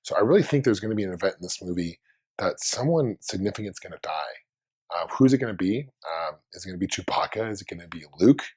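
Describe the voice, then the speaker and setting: A man hosts his own fan video while under the weather, his voice hoarse and husky and pitched very low. He rambles casually through his thoughts, often restarting sentences mid-way. The delivery is loose and conversational. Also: expressive, quick pace, a little mellow. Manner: rambling, thinking aloud with many restarts.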